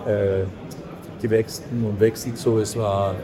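A man from Belgium, a podcast guest who speaks halting with many fillers and restarts. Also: fairly low pitch, little pitch movement. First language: not German. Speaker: podcast guest, editor of a print-industry film channel. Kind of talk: casual interview chat. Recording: crowd noise behind.

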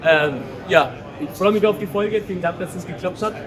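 A man hosting a podcast who talks in a friendly, relaxed way, with fillers. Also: high-pitched, a little fast. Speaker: podcast host. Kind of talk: casual interview chat. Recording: crowd noise behind.

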